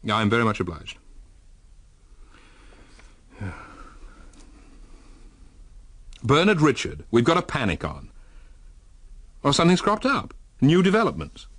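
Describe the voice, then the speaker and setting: An actor playing a blunt, impatient official making a clipped, emphatic telephone call in a radio drama. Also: male voice, mid-range voice, huge pitch swings, a little slow, pauses often, fairly bright tone, breathy edge, no echo, full character voice.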